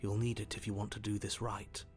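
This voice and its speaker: An actor voicing the calm, wry narrator of a dark video game, speaking in a measured, faintly sardonic way. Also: male voice, fairly low pitch, faint music behind, natural voice.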